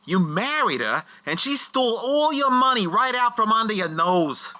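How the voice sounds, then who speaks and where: A man's voice: high-pitched, very animated, normal speed, bright, forceful tone, exaggerated voice. A man playing a mocking, wisecracking ghost guide in a comic radio play, taunting and exasperated.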